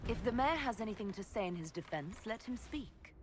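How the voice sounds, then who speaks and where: An actress playing an authoritative female leader in a video game, speaking calmly and in a measured way. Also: a little high, a little hiss or hum, close to an everyday voice. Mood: stern. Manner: calm, measured.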